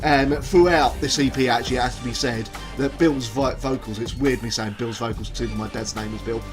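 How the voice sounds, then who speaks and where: A man's voice: mid-range voice, fairly quick. A man hosting a casual music review video, stuffy from a cold and hay fever, chatty and rambling with plenty of ums and self-corrections. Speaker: music reviewer and show host. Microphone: camera video recording.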